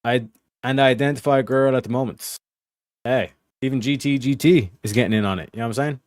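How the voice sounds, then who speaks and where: A man's voice: medium pitch, fast, dry recording, clean studio sound. A man streaming a game, chatting casually and joking with his viewers, swearing a lot. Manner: profane, joking, talks to chat while playing. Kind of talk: casual gaming livestream chat.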